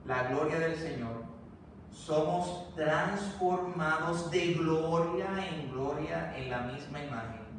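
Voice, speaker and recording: A man's voice: fairly high pitch, slow pace. A man with a Puerto Rican accent preaching a sermon to his congregation, speaking to them directly in a repeating, building cadence. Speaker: pastor. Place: church sanctuary. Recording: very echoey church, distant mic, faint noise.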